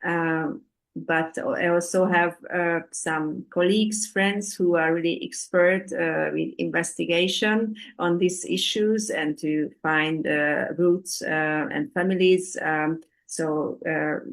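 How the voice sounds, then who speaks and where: A woman, answering audience questions in a halting way, full of ums and uhs, over a video call. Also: on the low side, fairly even intonation. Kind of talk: hesitant Q&A answers, many fillers.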